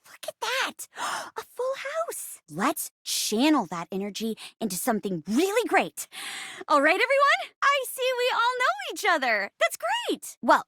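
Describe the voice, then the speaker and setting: Bright, bubbly theatrical game heroine. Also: female voice, very high voice, wildly swooping pitch, measured pace, hardly any pauses, no room sound, clean studio sound, full character voice. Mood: theatrical, excited, then awkward, teasing. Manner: bubbly, theatrical, giggly, encouraging. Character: peppy female living chair, theatrical improv host. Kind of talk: video game character voice acting.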